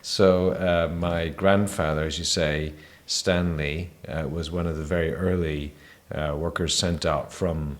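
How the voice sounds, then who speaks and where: Man from spain, very low voice, missionary guest, measured, hesitant, reflective. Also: steady tone. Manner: measured, hesitant with many fillers. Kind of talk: reflective personal testimony.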